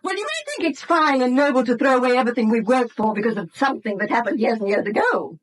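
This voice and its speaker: An embittered British wife in vintage radio drama. Her voice is on the high side, emotional and pleading, with a cutting, reproachful edge. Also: sing-song, fast talker. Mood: bitter reproach. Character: anxious, embittered wife, pleading and sharp-tongued.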